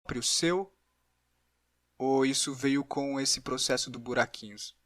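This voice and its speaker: A man with a Brazilian Portuguese accent hosting a casual podcast interview, thinking aloud with halting, repeated words. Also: medium pitch, fairly bright tone, no echo, studio quality.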